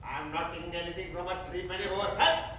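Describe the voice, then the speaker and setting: High, bright, mocking drunk man played by an actor. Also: fairly even intonation, fast, a little hiss or hum. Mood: angry, contemptuous. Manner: mocking, boastful. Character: sozzled, taunting, snobbish son-in-law. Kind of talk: staged play reading. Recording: very echoey theater, distant mic.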